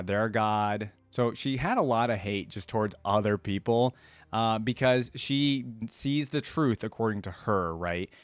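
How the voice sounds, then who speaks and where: A man's voice: fairly low pitch, smooth, no room sound. A man giving casual, musing commentary as a reaction video host. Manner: informal, musing, conversational.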